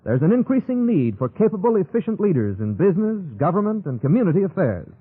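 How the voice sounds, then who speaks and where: A man's voice: high voice, very animated, fast, breathy edge. A man reading scripted announcements in a mid-century radio style. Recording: narrow-band old radio broadcast.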